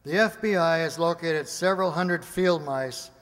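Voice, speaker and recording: An earnest, harried official, acted by a man, with a high male voice. He delivers his reports deliberately in radio-play style, in a hall with long reverb. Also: fairly bright tone, natural voice. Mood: sober. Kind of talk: comic science-fiction radio play acting.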